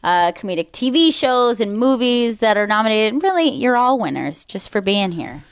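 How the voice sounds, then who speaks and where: A woman's voice: a little high, very animated, moderate pace, smooth, no echo, clean studio sound. A woman co-hosting a comedy talk show in a casual, conversational style.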